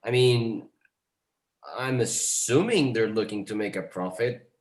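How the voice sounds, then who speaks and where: A man's voice: mid-range voice, measured pace, darkish tone, close to an everyday voice. Man playing brusque dealmaker, echoey room. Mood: curt. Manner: curt, casual, impatient. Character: brusque, pushy business dealmaker, impatient man. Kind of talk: screenplay table read.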